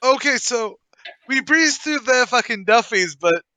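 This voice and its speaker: A man's voice: extremely high pitch, expressive, measured pace, sharp tone, dry recording. A man chatting casually and informally between recording takes. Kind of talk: casual chat.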